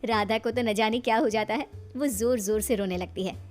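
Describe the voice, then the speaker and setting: A woman's voice: on the high side, moderate pace, soft background music. A woman narrating a scripted family story in a dramatic storytelling style.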